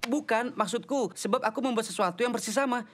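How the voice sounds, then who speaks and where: An eager young boy from a cartoon comedy, voiced by a grown-up, friendly and upbeat as he organizes his friends. His voice is mid-high, with some background ambience. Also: fast talker, close to an everyday voice. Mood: thoughtful. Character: friendly, eager twelve-year-old cartoon boy, team leader. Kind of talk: animated comedy cartoon dubbing. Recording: noisy ambience behind the voice.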